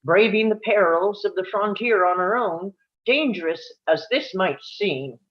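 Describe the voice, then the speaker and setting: A woman's voice: mid-range voice, animated, measured pace. A woman reading scripted narration aloud at a live table read, telling it like a story and now and then stumbling over a word. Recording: dull, lo-fi sound.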